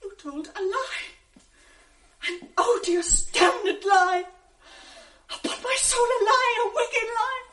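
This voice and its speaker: A woman's voice: very high-pitched, slow, pauses often, a bit bright, heavily acted. An actress playing Emilia, a bold lady's maid, who accuses and cries out with fierce outrage in a 1980s radio drama. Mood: accusing.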